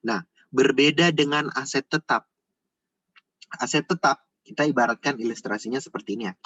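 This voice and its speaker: A man tutors informally in a husky, fairly low voice. He explains step by step, circling back over his phrases and checking in with short tags. The recording is muffled and dry. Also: moderate pace, fairly bright tone, no room sound. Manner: repeats himself, frequent checking tags. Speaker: accounting tutor.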